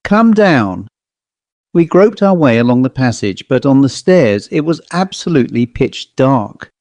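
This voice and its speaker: A man's voice: mid-range voice, animated, normal speed, dark tone, no room sound. A man reading a scripted ghost story as an audiobook narrator.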